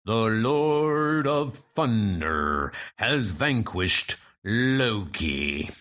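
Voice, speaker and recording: A man's voice: on the low side, very animated, very slow, fairly bright tone, voice pushed down, heavily acted. A man playing a proud, regal father of the gods in a comic book dub, speaking in a grave, formal manner. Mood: proud, solemn.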